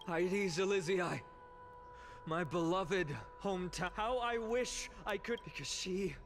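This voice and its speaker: An earnest young hero in a video game, his voice sitting high and unhurried. He gives solemn, measured speeches, and soft game music plays underneath. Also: heavily acted. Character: earnest young male hero, grieving orator.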